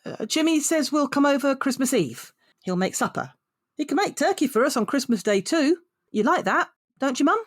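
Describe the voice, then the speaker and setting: A woman's voice: sits high, very animated, normal speed. A woman playing a worried, exasperated grown daughter in an audio drama, wry and pleading in manner. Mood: coaxing.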